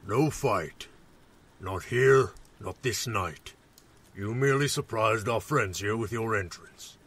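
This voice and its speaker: A man playing a calm, courteous reptilian dragonborn warrior in a fantasy audio drama, with a rough voice and formal, measured speech. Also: fairly low pitch, exaggerated voice. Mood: calm, firm.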